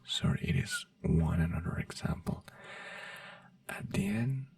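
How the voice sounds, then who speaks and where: A man in an ASMR doctor roleplay, gently whispering health advice in halting, non-native English. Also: sits high, wildly swooping pitch, gravelly, recorded from a distance. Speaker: ASMR creator playing a doctor.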